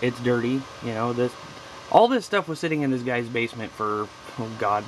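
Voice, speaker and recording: A man's voice: medium pitch, lively intonation, normal speed, slight background noise, rough recording. A man giving a casual, folksy show-and-tell about his hobby gear as a video host, with informal slang.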